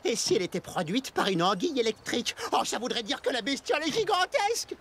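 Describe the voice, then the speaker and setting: A woman voicing an inquisitive pirate crew member in a French children's cartoon, over loud music. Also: fairly high pitch, very animated, fast. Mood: alarmed wonder.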